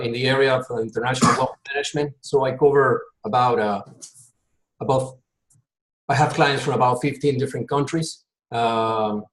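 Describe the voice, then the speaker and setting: A man speaks earnestly on a video call, repeating himself as he presses a point. His voice sits at an everyday middle pitch. It bounces around an echoey room. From Peru. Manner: earnest, repeats words when emphasizing. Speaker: volunteer consultant.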